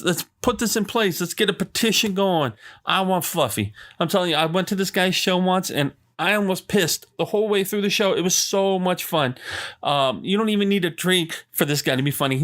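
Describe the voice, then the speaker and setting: A man's voice: a little high, fairly bright tone. A man hosting his own podcast, ranting in a rambling, enthusiastic way full of fillers and asides. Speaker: podcast host. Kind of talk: casual solo podcast rant. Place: Arizona.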